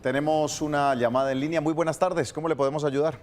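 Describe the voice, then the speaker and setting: A man's voice: fairly high pitch, fairly even intonation, a little hiss or hum. A man hosting a legal TV call-in show, courteous and steering the talk. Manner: brisk, courteous, steers the conversation. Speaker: TV show host. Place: TV studio.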